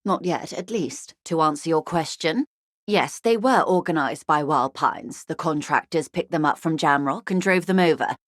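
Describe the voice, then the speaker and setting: A woman's voice: low voice, normal speed, no room sound, studio quality. A woman playing a poised, wealthy, shrewd corporate negotiator in a video game, speaking formally and with polite, guarded care. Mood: guarded, matter-of-fact. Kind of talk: video game character dialogue.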